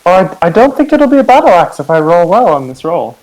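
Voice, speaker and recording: A man's voice: sits high, very animated, fast, a little mellow, rough recording. A man chatting as a player in a tabletop game, teasing the others in a dry way.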